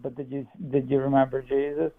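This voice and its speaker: A man's voice: medium pitch, monotone, fairly quick, vocal fry, dry recording. A young man chatting casually over a phone line, guarded and noncommittal but easygoing. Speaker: person on the other end of a call. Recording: tinny, narrow phone line.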